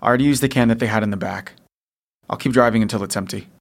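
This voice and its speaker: A middle-aged man acting a gruff, protective, weary father in a post-apocalyptic audio drama. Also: lowish voice, very fast. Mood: weary, frustrated.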